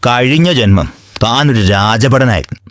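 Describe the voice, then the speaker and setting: A man's voice: mid-range voice, normal speed, no echo, soft background music, natural voice. A man playing a shrewd, self-important village astrologer in a comedy cartoon, stern and pompous in his pronouncements. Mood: solemn pronouncing. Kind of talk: comedy cartoon skit dialogue.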